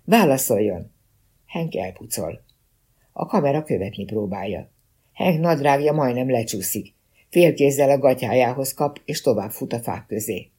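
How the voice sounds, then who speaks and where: A male narrator reads detective fiction in a husky, mellow voice. His pitch sits in the middle range, and the delivery is that of scripted first-person storytelling. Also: expressive. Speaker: audiobook narrator.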